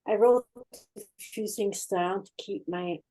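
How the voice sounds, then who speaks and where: An older woman with a Swiss accent, telling her life story in a halting, plain-spoken way as an interview guest online. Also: mid-range voice, dark tone. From Switzerland. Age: 60s or older. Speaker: guest, healing practitioner and former nurse. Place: online video chat.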